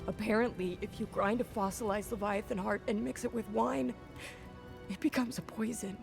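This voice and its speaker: An actress playing a fretful young relative in a fantasy video game, stammering and pleading earnestly. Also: female voice, mid-range voice, fairly even intonation, normal speed, gravelly, close to an everyday voice. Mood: anxious, pleading. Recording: loud music behind the voice.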